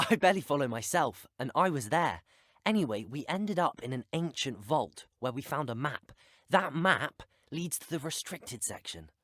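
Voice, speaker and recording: An actor playing an earnest, curious teenage wizard student in a video game, speaking politely with a British accent. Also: male voice, mid-range voice, dry recording, clean studio sound. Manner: polite, well-spoken. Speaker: player character voice.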